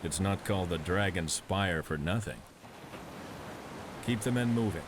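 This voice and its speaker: An actor playing a stern, brooding samurai prince with a husky voice and a curt, commanding manner in a video game cutscene. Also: male voice, low voice, measured pace, background noise.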